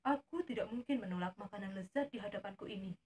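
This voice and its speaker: A woman's voice: mid-range voice, fast talker, darkish tone, voice pushed down, natural voice. Woman playing a hungry, gullible storybook tiger. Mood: gleeful, hungry. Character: hungry, gullible male tiger, king of the forest.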